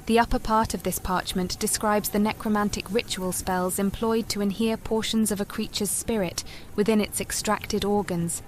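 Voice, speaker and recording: A woman's voice: mid-range voice, fairly even intonation, normal speed, slight background noise, close to an everyday voice. A woman playing a composed, resolute adventurer in a fantasy video game, speaking scripted lines. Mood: measured.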